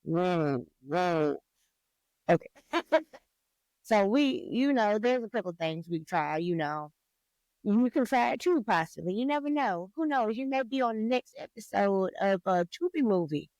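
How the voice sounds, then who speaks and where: A woman's voice: medium pitch, big pitch swings, unhurried, a little mellow, no echo, rough recording. A woman hosting a casual podcast who keeps the talk moving with questions and short reactions. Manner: prompting, chimes in with short reactions. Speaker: podcast host.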